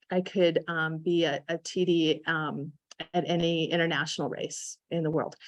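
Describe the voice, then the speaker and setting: A woman in her forties, a ski development director speaking on a conference panel, talking in a halting way with many fillers and repeated words. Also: on the low side, no echo.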